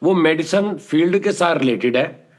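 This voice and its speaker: A man with a fairly high voice, talking in a relaxed storytelling way. He explains at length and animatedly, and his voice sometimes drops low for emphasis. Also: moderate pace. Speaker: storyteller guest, addressed as ustad. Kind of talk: casual storytelling, reading out viewer messages.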